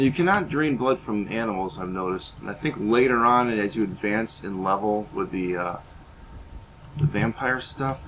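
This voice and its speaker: A man's voice: on the low side, fairly bright tone, slight background noise, poor audio. A man giving casual, half in-character roleplay commentary over a video game, moving between muttered asides and theatrical remarks. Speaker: gaming video host.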